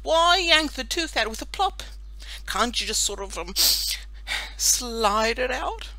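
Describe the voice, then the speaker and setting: A woman voicing a stubborn, loud-mouthed farm maid with rustic, ungrammatical speech in a children's audiobook. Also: a little high, big pitch swings, slow pace, no room sound, pitched above the performer's own voice, exaggerated voice, rough recording. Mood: wary, pleading.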